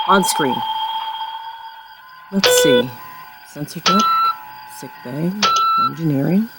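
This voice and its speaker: A woman's voice: on the low side, very noisy. A woman playing a confident, newly appointed starship captain in a science fiction audio drama, composed and authoritative. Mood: brisk, commanding.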